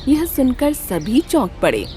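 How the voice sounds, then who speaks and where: A woman reads a children's tale in a fairly high voice with lively swings of pitch. Her delivery is expressive, scripted storytelling. Music plays clearly behind her. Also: a little slow.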